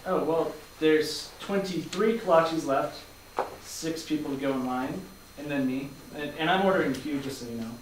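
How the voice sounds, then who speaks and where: A man playing a bemused, hesitant accountant, awkward and halting, in a staged play reading. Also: on the high side, natural voice. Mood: uneasy. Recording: echoey hall from far off, faint noise.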